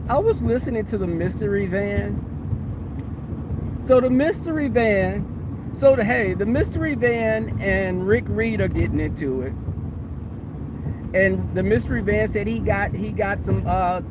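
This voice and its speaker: A woman vlogging in a car, talking in a blunt, sassy, opinionated way with a voice that is going hoarse. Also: mid-range voice, unhurried. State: losing her voice. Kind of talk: casual chat. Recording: noisy car interior.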